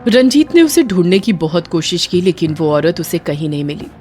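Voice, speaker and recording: A woman's voice: lowish voice, very animated, moderate pace, darkish tone, faint music behind. A woman narrating a scripted horror story in a dramatic storytelling style.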